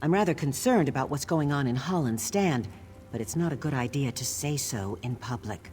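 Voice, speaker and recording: An actress playing a guard captain's worried, discreet wife in a fantasy video game, speaking in an earnest, guarded way. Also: female voice, very deep voice, lively intonation, normal speed, a little mellow, faint music behind. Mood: troubled. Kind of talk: scripted game character dialogue.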